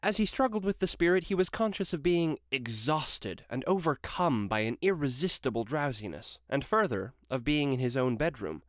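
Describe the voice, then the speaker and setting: A man narrating a classic story aloud in an expressive storytelling style. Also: mid-range voice, moderate pace, few pauses, dry recording, clean studio sound.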